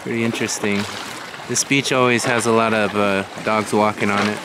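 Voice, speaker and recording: A man's voice: lowish voice, level tone, fairly quick. A man in his forties narrating a casual walking vlog outdoors. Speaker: vlogger. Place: outdoors along a waterfront. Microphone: handheld camera microphone. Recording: windy outdoor camera recording with loud noise. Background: loud wind and outdoor ambience.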